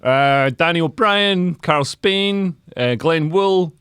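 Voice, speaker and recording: A Scottish comedian and podcast host chatting casually, rambling with lots of "like" and laughing often. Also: male voice, a little high, slow, fairly bright tone, clear, resonant tone, no echo, clean studio sound. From Scotland. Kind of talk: casual chat.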